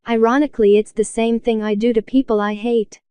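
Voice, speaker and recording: A woman with a fairly high voice reads forum posts aloud at a steady, even pace. The sound is close and dry, with no room around it. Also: fairly quick, clean tone, dry recording. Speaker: narrator reading forum posts.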